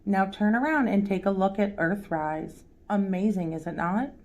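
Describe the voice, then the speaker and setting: A woman's voice: medium pitch, moderate pace, a little mellow, close to an everyday voice. A woman voicing a friendly, knowledgeable moon being in a children's storybook, speaking in formal, instructive phrases. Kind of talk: children's storybook read-aloud character.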